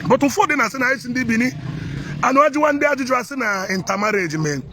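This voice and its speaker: A Nigerian man conducting a street interview, asking questions briskly and nodding along with short affirmations. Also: very high voice, lively intonation, background noise. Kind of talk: street interview, mixing English and Igbo.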